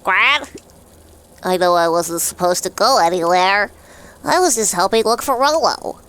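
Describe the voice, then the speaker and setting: An earnest young cartoon boy played by a woman, apologetic and explaining himself. The voice is bright and swings up and down like a game hero's. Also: mid-range voice, lively intonation, unhurried, clear, resonant tone. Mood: anxious. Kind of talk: cartoon game dialogue read aloud.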